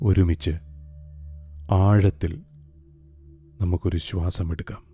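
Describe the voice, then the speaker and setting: A man narrating a scripted guided meditation in a calm, soothing, unhurried way with long pauses. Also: low voice. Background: soft meditation music with singing bowls.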